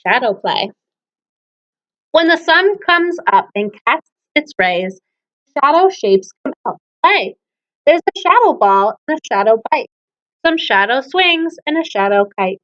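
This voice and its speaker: High-pitched woman, very animated, teacher reading aloud to kids, question-filled delivery, slightly dull lo-fi recording. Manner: addresses children with frequent questions. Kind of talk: read-aloud of children's poems with explanations.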